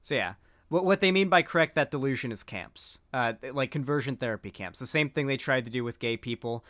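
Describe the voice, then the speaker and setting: A man's voice: mid-range voice, animated, fairly quick, fairly bright tone, no room sound, clean studio sound. A man giving casual commentary on clips, rambling with frequent fillers and restarts. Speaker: political commentator.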